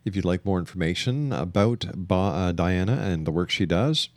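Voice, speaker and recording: A man hosting a radio interview, phrasing things in a deliberate, measured way. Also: low-pitched, animated, no echo.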